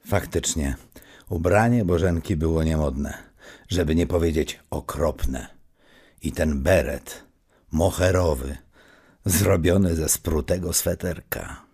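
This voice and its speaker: A man playing the wry storyteller of a comedy audio play, reading scripted narration in a gravelly voice. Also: rumbling low, slow pace, darkish tone, full character voice.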